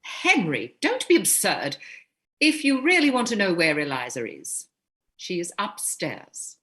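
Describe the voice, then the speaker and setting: An actress playing a composed, commanding upper-class older mother, firm and measured in a staged play reading. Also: lowish voice, very animated, pushed and bright, full character voice. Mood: stern reproof.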